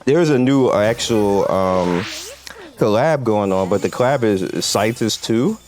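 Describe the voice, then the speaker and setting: A man's voice: lowish voice, animated, a little slow, a little mellow, slight background noise, poor audio. A man giving casual, rambling video game commentary in a conversational way. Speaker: gaming video host. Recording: game music playing behind the voice. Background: video game music.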